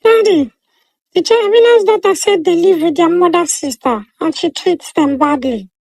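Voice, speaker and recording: A woman's voice: very high voice, normal speed, gravelly, darkish tone, no echo. A woman voicing an innocent, earnest young daughter in a told folktale.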